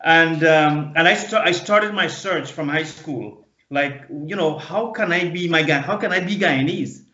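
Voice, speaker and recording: A man's voice: on the high side, bright, forceful tone. Earnest man in his fifties, echoey dull audio. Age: 50s. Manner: halting, earnest, often repeats and restarts words. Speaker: panel guest. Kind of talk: personal recollection in a panel discussion.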